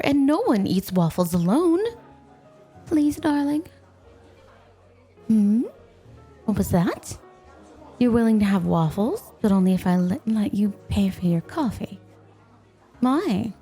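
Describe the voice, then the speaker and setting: A woman's voice: a little high, warm tone, husky, heavily acted. A woman playing a playful, possessive snow spirit in an ASMR roleplay, coaxing and teasing the listener with coy, intimate talk. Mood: sweet, pleading. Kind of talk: ASMR roleplay, scripted, intimate one-sided dialogue.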